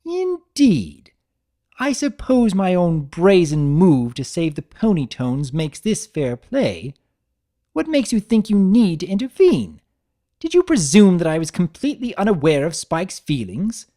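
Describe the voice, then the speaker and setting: A woman's voice: fairly low pitch, sing-song, a little slow, mellow, pitched above the performer's own voice. Woman voicing a prim, theatrical unicorn lady. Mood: indignant. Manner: refined, emphatic, gushing. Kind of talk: dramatic audiobook reading.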